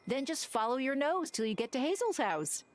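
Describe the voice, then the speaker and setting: A woman's voice: high voice, sing-song, moderate pace. An actor playing a helpful, welcoming townswoman in a cozy video game, reassuring and friendly. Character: warm, helpful townswoman greeting an old acquaintance.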